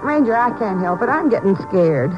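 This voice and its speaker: An actress playing a weary, nervous nightclub performer in a 1950s radio crime drama, plain-spoken and slangy. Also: female voice, mid-range voice, sing-song, moderate pace, music in the background, natural voice. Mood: anxious. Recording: dull, faintly noisy old radio recording.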